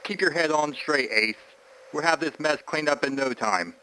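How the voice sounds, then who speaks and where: A man's voice: a little high, moderate pace, bright, forceful tone, slight background noise, poor audio. A man playing a stern, by-the-book military air commander on a radio transmission in a radio drama. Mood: firm, businesslike. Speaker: military flight controller or wingman.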